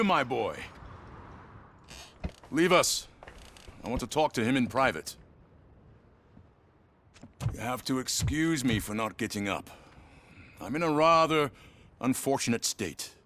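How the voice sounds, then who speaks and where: An actor playing a frail, genteel old patriarch in a video game, courtly and halting. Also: male voice, medium pitch, expressive, fairly bright tone, a little hiss or hum. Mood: weary, cordial. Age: older. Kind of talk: video game cutscene acting.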